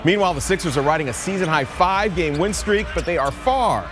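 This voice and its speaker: A man with an American accent, reading news and sports headlines in an upbeat, energetic way. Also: high-pitched, fast, music in the background. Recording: loud crowd cheering.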